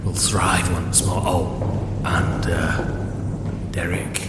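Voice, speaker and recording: A man voicing an aggrieved, mournful Northern English trade spokesman giving a halting, mock-solemn speech in a comic podcast skit. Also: low voice, flat delivery, pitched below the performer's own voice. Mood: hopeful, bitter. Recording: echoey hall, loud ambient noise.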